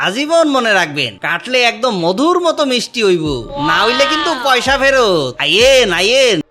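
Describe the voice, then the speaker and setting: A man's voice: very high-pitched, big pitch swings, moderate pace, noisy background. A man voicing a brash village market watermelon seller in a cartoon, hawking and curt, with a Bangladeshi accent. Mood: salesy, curt. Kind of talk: Bengali cartoon voice-over. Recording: music behind the voice.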